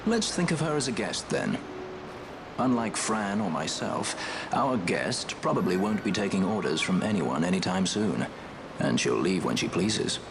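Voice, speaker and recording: An actor playing a suave, wry young sky pirate in a video game, with an urbane, teasing, unhurried manner. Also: male voice, low-pitched, sing-song, background noise. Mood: wry, composed. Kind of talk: polished video game voice acting. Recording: music behind the voice.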